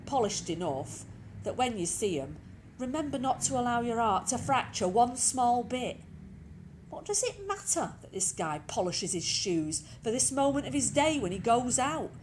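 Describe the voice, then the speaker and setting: A woman's voice: a little high, sing-song, moderate pace, darkish tone. A woman reads a short story expressively, in a conversational way that addresses the listener. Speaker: reader of short stories. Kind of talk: expressive scripted story reading. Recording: clearly noisy with background ambience.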